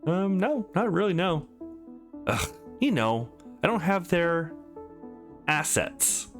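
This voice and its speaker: A man's voice: high-pitched, very animated, takes it slowly, voice pushed up, exaggerated voice. A man voicing a shy, playful, sweet young woman in a visual novel, hesitant and bashful, with music underneath. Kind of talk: visual novel character read-aloud.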